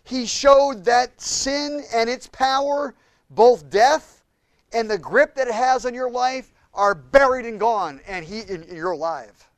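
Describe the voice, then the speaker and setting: A man preaching a sermon with impassioned swings between loud and hushed delivery. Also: very high voice, slow, dry recording. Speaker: pastor.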